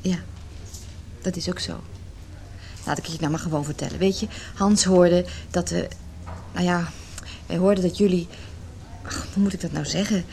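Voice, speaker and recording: A woman's voice: on the low side, fairly even intonation, normal speed, long pauses, mellow. An actress playing an earnest, guarded married woman in her late thirties in a Dutch radio play, speaking sincerely and a little defensively. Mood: hesitant. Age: 30s. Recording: radio play with clear background noise.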